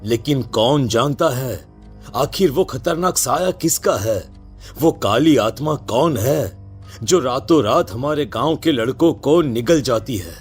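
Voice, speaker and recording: A man's voice: medium pitch, expressive, takes it slowly, husky, faint music behind. A man playing an anxious, protective village headman and father, speaking earnestly and hesitantly in a dramatized story. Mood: grave, worried. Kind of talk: Hindi audio story acting.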